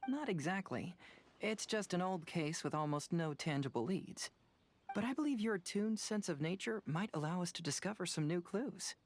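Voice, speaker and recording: A woman's voice: on the low side, animated, moderate pace, slight background noise. An actress playing a keen, businesslike young female detective in a video game.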